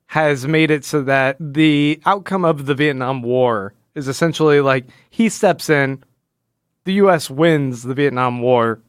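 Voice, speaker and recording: A man's voice: mid-range voice, unhurried, clean tone, no echo, studio quality. A man co-hosting a podcast, talking casually about comic books in informal, slangy phrasing. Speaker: podcast co-host. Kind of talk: casual comic book discussion.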